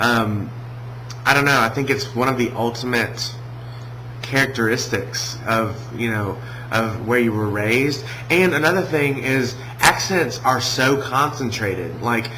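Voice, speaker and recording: A man from Alabama with a Southern American accent, talking casually in a video response, hesitant with many pauses and restarts. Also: mid-range voice, background noise. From Alabama, USA. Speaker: vlogger.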